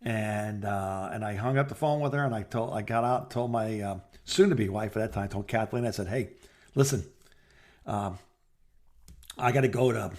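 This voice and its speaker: A middle-aged man chatting casually and telling personal anecdotes in a rambling, halting way, as a podcast co-host. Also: fairly low pitch, darkish tone.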